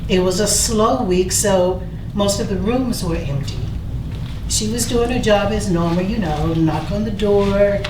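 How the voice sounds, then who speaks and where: A woman's voice: mid-range voice, unhurried, lightly acted. A woman reading the part of a chatty, weary hotel housekeeper and mother in a staged play. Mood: grave. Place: live staged reading, mic away from actors. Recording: distant mic, echoey noisy room.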